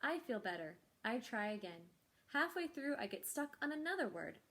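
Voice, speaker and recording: A woman's voice: fairly high pitch, big pitch swings, moderate pace, a bit bright, voice pushed up. A woman voicing an earnest, anxious young girl who is a reluctant reader in a storybook, with emphatic, simple phrasing. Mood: hopeful.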